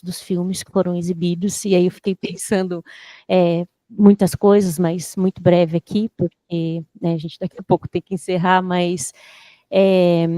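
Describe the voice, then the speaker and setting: A woman lecturer reflects aloud at an academic panel, pausing often to find her words. Her voice is mellow and quite even in pitch. The sound is dry and close. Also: no echo. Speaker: university lecturer.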